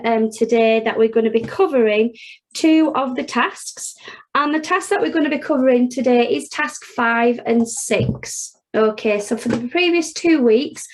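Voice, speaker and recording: A woman with a Yorkshire accent teaches an online accounting revision class. Her voice sits a touch high, and she speaks in a chatty, informal way. She checks in often with "okay" and fills pauses with "um". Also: darkish tone, low-quality recording. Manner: chatty, frequent "okay" and "um", talks students through steps. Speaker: accounting tutor.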